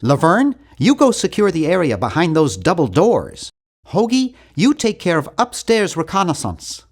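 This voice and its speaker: A man's voice: a little high, huge pitch swings, moderate pace, full character voice. A man voicing an earnest, timid young male nerd in an adventure video game, with a precise, fussy delivery. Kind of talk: animated adventure game voice acting.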